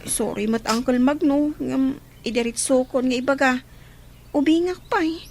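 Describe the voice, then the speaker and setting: A woman's voice: sits high, moderate pace, a little mellow, a little hiss or hum. A woman with an Ilocano accent playing a young student in a radio drama, pleading and protesting, respectful but firm. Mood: firm refusal.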